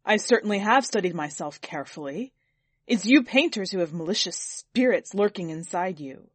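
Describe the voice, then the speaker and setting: A woman voicing a vain, pompous, quick-tempered lordly master in a story, speaking with indignant emphasis. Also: medium pitch, animated, husky, no echo. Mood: angry, demanding.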